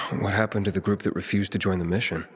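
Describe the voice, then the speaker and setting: An actor playing a wary, inquisitive survivor, the hero of a post-apocalyptic video game, speaking tersely. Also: male voice, low-pitched, fairly even intonation, fast talker, husky. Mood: concerned. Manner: terse, questioning.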